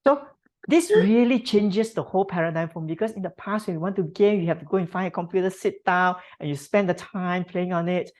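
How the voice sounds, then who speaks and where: A woman from Singapore giving thoughtful interview answers, with many pauses and tag questions. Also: lowish voice, a little mellow. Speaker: podcast guest.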